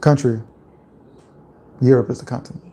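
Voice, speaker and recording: A man from Louisiana with a Louisiana accent, chatting casually on a livestream and reading out viewer comments in a relaxed, rambling way. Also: medium pitch, moderate pace. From Louisiana, USA. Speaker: livestream host.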